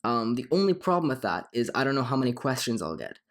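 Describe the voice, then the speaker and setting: Slightly hoarse young man rambling. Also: mid-range voice, sing-song, fast. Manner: rambling, frequent ums and restarts. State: hoarse from a cold. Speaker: gaming channel host. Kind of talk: casual gameplay commentary.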